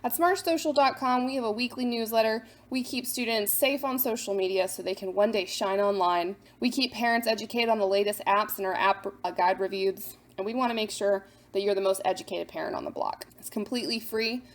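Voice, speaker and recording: A woman's voice: medium pitch, a little fast, hardly any pauses. A woman hosting a scripted explainer for parents, instructive, posing questions and then answering them. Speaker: host of a parent guide to apps.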